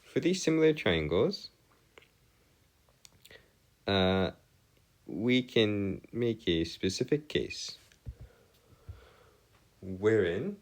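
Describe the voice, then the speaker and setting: A man's voice: lowish voice, very animated, very slow, long pauses. A man giving an informal step-by-step lesson as a tutor, with formal, wordy phrasing and hesitant self-corrections. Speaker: math tutor. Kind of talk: informal lecture, explaining step by step.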